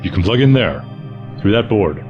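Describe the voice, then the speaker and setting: An actor playing an unassuming head janitor in an audio drama, laconic and low-key. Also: male voice, animated, moderate pace, highs cut off, natural voice. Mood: matter-of-fact. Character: unassuming, dry head janitor, secret ally. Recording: loud music under the voice.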